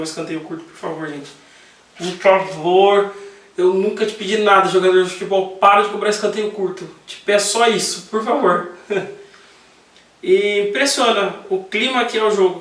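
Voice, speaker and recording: A man's voice: high voice, fairly bright tone, far from the mic. A Brazilian man hosting a casual talk to camera, chatty and jokey, with asides about the game. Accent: Brazilian Portuguese. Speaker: host. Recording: echoey room, voice a bit off-mic.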